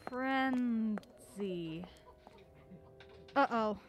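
A woman playing a feisty, quick-witted young amateur sleuth with a brisk, sardonic manner in an audio drama. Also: a little high, a little hiss or hum. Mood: anxious, frustrated.

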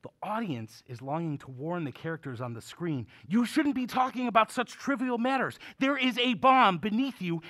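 Professorial uncle, played by a man, animated and dry-recorded. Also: sits high, no echo. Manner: professorial, measured, theatrical. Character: erudite older uncle, film buff, genial mentor. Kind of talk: audio drama acting.

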